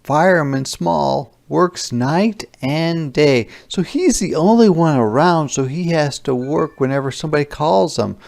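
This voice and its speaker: A man with a creaky voice reading a children's picture book aloud and pausing to explain words simply. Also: on the high side, darkish tone.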